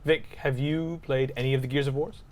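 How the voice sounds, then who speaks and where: A man's voice: mid-range voice, steady tone, brisk, darkish tone. A man co-hosting a gaming podcast, chatting casually with a teasing, bantering manner. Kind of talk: casual chat about video games.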